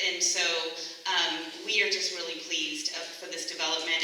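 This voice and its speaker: A woman's voice: on the low side, bright, forceful tone, slight background noise. A woman with a Boston accent giving a public speech, hesitant, with many ums and self-corrections. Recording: echoey hall, speaker far from mic.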